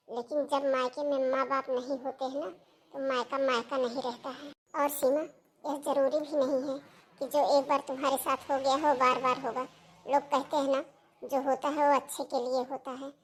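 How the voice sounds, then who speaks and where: A woman acts out a motherly sister-in-law in a cartoon family drama. Her high voice is soft and gentle, and she persuades in an earnest, reassuring way. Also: flat delivery, normal speed, a little hiss or hum, natural voice. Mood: gently persuasive.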